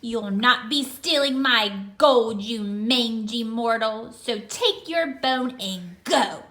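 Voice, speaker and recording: Woman doing a gruff snappish leprechaun, bright tone, slow deliberate delivery. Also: on the high side, pitched below the performer's own voice. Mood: grumpy. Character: grumpy solitary male leprechaun cobbler. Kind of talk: storybook reading.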